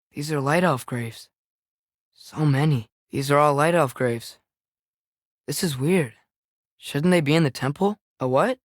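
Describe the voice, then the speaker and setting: A man's voice: fairly high pitch, dry recording, studio quality, natural voice. A young male actor voicing an earnest, compassionate teenage boy adventurer in a video game, with an American accent and a questioning, casual way of talking. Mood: curious. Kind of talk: naturalistic video game character acting.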